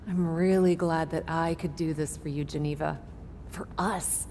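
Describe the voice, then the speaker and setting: A woman's voice: sits low, steady tone, measured pace, warm tone, a little hiss or hum. An actress playing a confident, playful woman wrestler in a video game cutscene. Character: confident, punning female wrestling champion.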